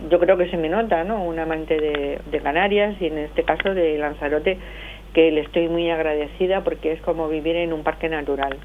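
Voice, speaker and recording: A middle-aged woman with a Madrid Spanish accent comes through a thin, tinny phone line. Her voice sits a little low. She explains things at length, with lots of pauses. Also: slight background noise. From Madrid, Spain. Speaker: association spokesperson, phone guest. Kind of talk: phone-in radio interview.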